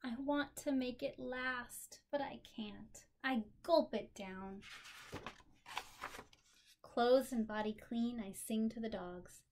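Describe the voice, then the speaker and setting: Storybook girl princess played by a woman, high-ish voice, very drawn-out phrasing, dreamy, lively, expressive. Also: a little hiss or hum. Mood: expressive, weary, relieved. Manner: dramatic, lingering phrasing. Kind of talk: expressive picture-book read-aloud.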